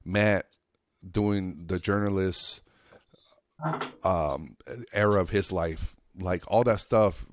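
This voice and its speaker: A man's voice: sits low, takes it slowly, long pauses, no echo. A man from the Reno area of the United States, a stand-up comedian chatting casually on a podcast in a rambling, earnest, slangy way with some swearing. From United States, Lake Tahoe and Reno area of Nevada. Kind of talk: casual podcast chat, anecdotal.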